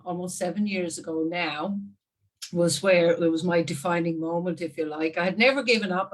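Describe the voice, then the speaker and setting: A woman in her fifties with an Irish accent, telling her story as an interview guest in a chatty, animated way with frequent laughs. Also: medium pitch, rough recording. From Ireland. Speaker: guest marketing strategist. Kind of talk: casual interview talk.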